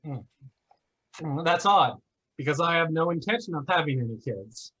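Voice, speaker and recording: A man's voice: on the high side, expressive, moderate pace, bright, forceful tone, slightly rough, exaggerated voice, low-quality recording. A man playing a smug, flippant internet conspiracy grifter who mocks theatrically in a one-act play. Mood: taunting. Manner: sarcastic, mocking, theatrical.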